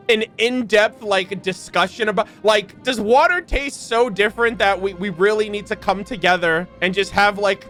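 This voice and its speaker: A young man streaming in a playful, expressive, slangy manner, switching between reading aloud and casual reaction commentary. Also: high-pitched. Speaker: streamer reacting to game lore.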